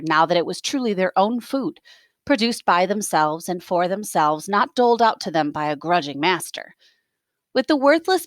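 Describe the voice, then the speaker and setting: A woman reading a novel aloud as a teacher, expressive, with plain explanatory asides for students. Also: mid-range voice, dry recording, studio quality.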